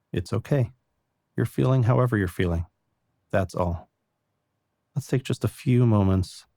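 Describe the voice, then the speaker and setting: A man guiding a meditation in a calm, gentle and unhurried way, reassuring the listener with soft spoken instructions. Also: low voice, gravelly, dry recording, clean studio sound. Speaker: meditation guide.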